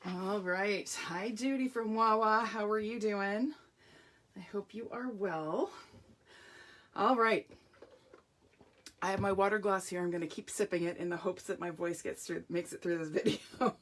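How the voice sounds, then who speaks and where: A middle-aged woman from Canada, hosting a chatty live craft demonstration with a hoarse, croaky voice and greeting viewers by name. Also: mid-range voice, a little slow, fairly bright tone. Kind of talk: live-stream craft demo.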